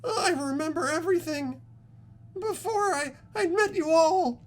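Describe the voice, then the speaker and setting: A performer voicing a delirious, tearful dying teenage boy in a fan game dub, weak and gasping with drawn-out words. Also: very high-pitched. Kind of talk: fan-dubbed visual novel acting.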